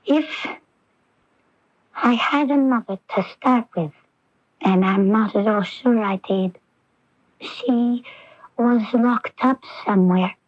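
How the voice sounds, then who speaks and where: An actress playing a troubled, frightened young woman with a childlike air, speaking haltingly in a radio drama. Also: on the high side, no echo. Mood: wistful, puzzled. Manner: halting, dreamy, hushed delivery. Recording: dull 1980s AM radio recording, music behind. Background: music.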